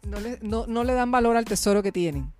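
A woman interviewing a guest, asking questions softly and hesitantly, often pausing to rephrase herself. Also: fairly high pitch, lively intonation, soft background music. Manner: hesitant, many fillers and restarts, soft-spoken.

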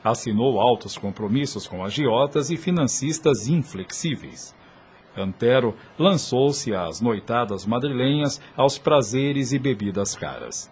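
A man's voice: medium pitch, a little mellow, a little hiss or hum. A man with a Brazilian accent narrating a radio drama from a script in formal, literary style.

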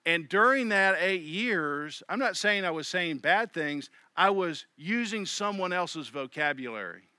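Middle-aged male pastor, chatty sermon delivery, bright tone, dry close mic. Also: high-pitched, animated, measured pace, few pauses, smooth, no room sound. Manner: conversational preaching with quoted inner voices.

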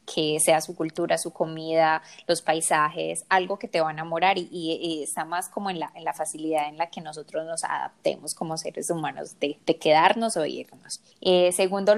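A woman's voice: low-pitched, normal speed, gravelly. A woman host with a Latin American Spanish accent, chatting in an interview, encouraging and repeating words as she searches for them. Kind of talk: casual interview chat.

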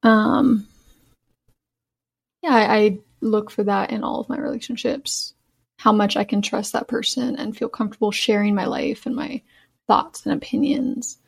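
A woman's voice: mid-range voice, steady tone, measured pace, creaky, husky. A woman speaking as a podcast guest, reflecting casually and thoughtfully, often framing her points as personal opinion.

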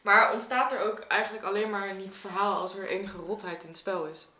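A woman's voice: mid-range voice, steady tone, a little fast, bright, forceful tone. A woman, talking casually as a guest. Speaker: guest, artist and cleaner. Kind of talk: casual chat. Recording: echoey room, faint noise.

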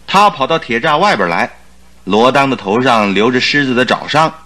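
An actor playing a stubborn, skeptical doctor who is a detective's companion, arguing theatrically and insistently in a Chinese radio drama. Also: male voice, mid-range voice, expressive, moderate pace, pushed and bright. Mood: dismissive, questioning.

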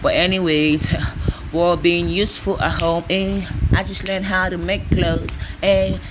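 A woman's voice: on the low side, little pitch movement, a little slow. Young Nigerian woman, early twenties, solo podcast host; chatty, rambling delivery on a noisy home recording. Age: 20s. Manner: chatty, rambling, often laughing. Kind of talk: casual solo podcast chat.

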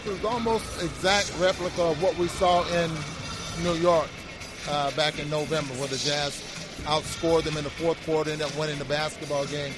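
An American man with a slightly high voice chats through basketball analysis over clear background music. He is reflective and halting, often doubling back on his words. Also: fairly even intonation, rough recording. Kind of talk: conversational post-game commentary.

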